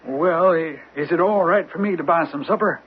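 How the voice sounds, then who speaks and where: A man's voice: a little high, moderate pace, close to an everyday voice. A man in a radio drama playing a folksy, rambling American detective who poses as an affable hunter. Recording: thin phone-like old radio, noisy.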